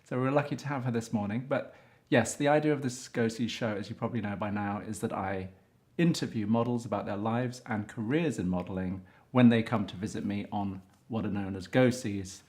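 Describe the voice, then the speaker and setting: A man hosting a casual interview, chatty and quick to jump in with comments and laughs. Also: fairly low pitch, a little mellow. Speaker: interviewer and show host.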